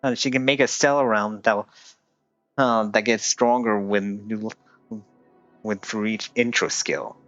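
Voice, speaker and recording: A man live-streams a game with music playing faintly behind him. He talks casually and gives advice, often halting and feeling for his words. The sound is dry and slightly dull. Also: lowish voice, a bit bright, no room sound. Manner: hesitant, halting delivery.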